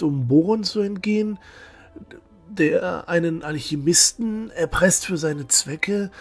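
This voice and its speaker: Ein Mann spielt einen zögerlichen, frommen jungen Helden, klar und bedächtig. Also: male voice, high-pitched, fairly bright tone, husky, exaggerated voice. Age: young adult. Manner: hesitant, halting, solemn. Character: devout young man, troubled, righteous and doubting. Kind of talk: fan-made fantasy audio drama acting.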